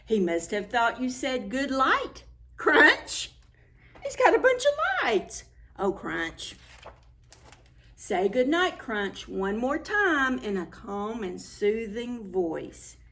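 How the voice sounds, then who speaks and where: A woman hosting a preschool story time, reading picture books aloud in an expressive, sing-song way and speaking directly to children. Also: medium pitch, takes it slowly.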